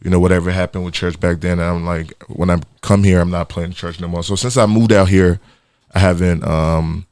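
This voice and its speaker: Low voiced nigerian guy chatting in a dry radio studio, stops and restarts a lot. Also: fairly even intonation, darkish tone, no room sound. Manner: halting, repeats words and restarts often. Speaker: guest musician. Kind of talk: casual interview chat.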